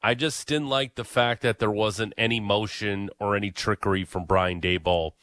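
A man hosts sports talk radio in a low voice that sits close and dry on the mic. He gives blunt opinions and hammers his points home by repeating them. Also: steady tone, normal speed, a bit bright, dry recording.

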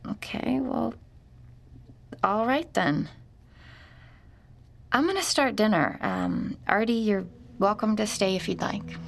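A woman playing a kind but uneasy young boy's mother, speaking gently and hesitantly in an audio drama. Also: mid-range voice, big pitch swings, slow pace, gravelly, long pauses, full character voice. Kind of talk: naturalistic audio drama acting.

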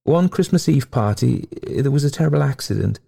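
A man's voice: medium pitch, expressive, a little fast, vocal fry, warm tone, husky, voice pushed up, heavily acted. A man voicing a proud, lovelorn female ghost in a storybook reading, with a wailing, melodramatic, pleading manner. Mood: rapturous, pleading.

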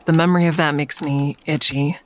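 A woman's voice: low-pitched, fairly even intonation, a little fast, natural voice. An actress playing a weary, watchful woman who narrates her own story in an audio drama. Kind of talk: scripted first-person audio drama narration.

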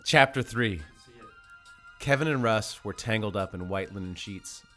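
A man's voice: fairly low pitch, unhurried, pushed and bright, faint music behind. A man playing an earnest narrator in a dramatic reading of romantic fan fiction. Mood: tender, dramatic.

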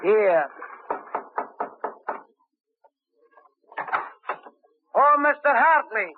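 An actor playing a coaxing, scheming sailor in a 1950s radio drama, heard through a tinny old broadcast. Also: male voice, high-pitched, animated, unhurried, noisy background. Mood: bossy. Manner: coaxing, sly, keeps calling his partner "John boy". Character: scheming wheedling sailor plotting murder, a grown man.

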